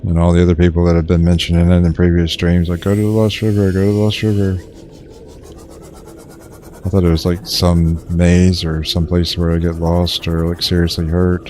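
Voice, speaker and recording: A young man casually commenting while he streams a survival game, in a low-key monotone, rambling with fillers and restarts. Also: moderate pace, a little hiss or hum.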